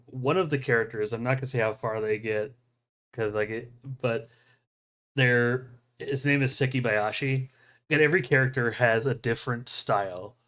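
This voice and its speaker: This is a man with an average-pitched voice in casual podcast conversation. He rambles with enthusiasm, doubling back as he explains things. Small chuckles often slip into his talk. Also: normal speed, fairly bright tone. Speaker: podcast host. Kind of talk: casual chat about anime.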